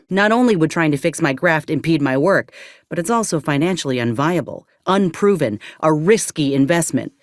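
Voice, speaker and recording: A woman's voice: low voice, no echo, studio quality. Folksy, wary ex-medic soldier woman. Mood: weary, rueful. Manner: folksy, drawling frontier speech with ain't and folk. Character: wary, plain-spoken female ex-combat medic turned mercenary soldier. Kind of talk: video game character dialogue.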